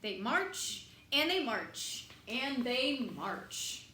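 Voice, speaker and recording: A woman reading a picture book aloud to young children in an expressive, sing-song way, pausing to put questions to them. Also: high-pitched. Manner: expressive, dramatic emphasis, sing-song counting and repetition. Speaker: children's storybook reader. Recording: roomy echo, faint background noise.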